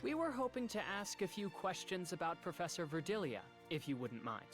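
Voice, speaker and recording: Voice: mid-range voice, very animated, fairly bright tone, soft background music. An actor playing a polite, reserved young boy student of magic, speaking formally and dryly in an anime-style game. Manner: formal, measured, dry.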